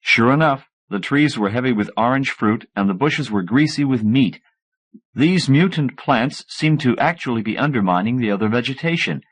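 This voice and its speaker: A man reading scripted fiction as an audiobook narrator, in an expressive way. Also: medium pitch, moderate pace, poor audio. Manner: expressive, voices characters in dialogue.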